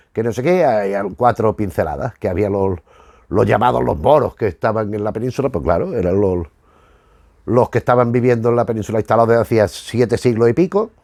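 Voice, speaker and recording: A man's voice: on the low side, a little fast, darkish tone, dry recording, studio quality. A man from giving casual, rambling commentary as a host, often searching for words and rewording as he goes. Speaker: YouTube host reviewing retro cartoons.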